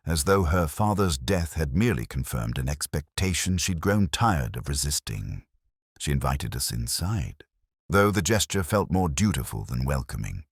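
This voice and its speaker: Casting: a man as a brooding, bookish inspector in middle age narrating an audio mystery; rock-bottom bass, measured literary delivery, close dry sound. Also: husky, dry recording, exaggerated voice. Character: brooding, erudite middle-aged male police inspector. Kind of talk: scripted first-person detective narration, audio drama.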